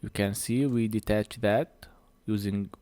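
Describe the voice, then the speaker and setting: A man who speaks English as a second language gives a hands-on repair tutorial in halting short phrases, a little short of breath. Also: lowish voice, fairly even intonation, dark tone, no room sound. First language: not English. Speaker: phone repair technician presenting a tutorial.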